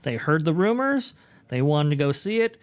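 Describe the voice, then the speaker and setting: A man co-hosting a casual chat, talking in a measured way with many fillers. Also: on the high side, big pitch swings. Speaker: podcast co-host.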